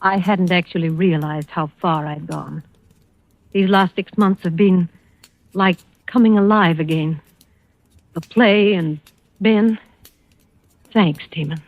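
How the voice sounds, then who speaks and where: An actress playing a spirited, fragile, high-strung stage actress in 1940s radio drama style, with emotive, crisp theatrical phrasing. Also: female voice, takes it slowly, pauses often, dry recording, narrow, tinny audio. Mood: earnest.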